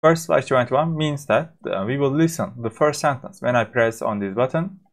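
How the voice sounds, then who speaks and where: A man with a Turkish accent, a native Turkish speaker, teaching a course by walking through a software demo, with frequent fillers and halting restarts. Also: medium pitch. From Turkey. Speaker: course instructor.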